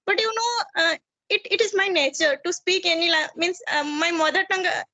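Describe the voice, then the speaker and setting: High-pitched Indian-accented woman, earnest learner, lively bright tone. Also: brisk, no echo. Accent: Indian English. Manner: earnest, restarts often, says "means" as a filler. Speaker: subscriber, conversation partner. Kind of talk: casual conversation practice on a video call. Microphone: video call.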